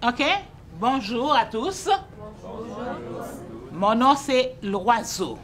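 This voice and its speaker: A teacher introducing herself to a class in a slow, playful, clearly spoken way. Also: female voice, a little high, crowd noise.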